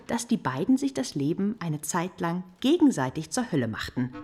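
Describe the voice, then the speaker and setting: An actress playing an expressive storyteller of a period novel, narrating emphatically and articulately in an audio drama. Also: female voice, fairly low pitch, normal speed, soft background music. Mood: dramatic, grave.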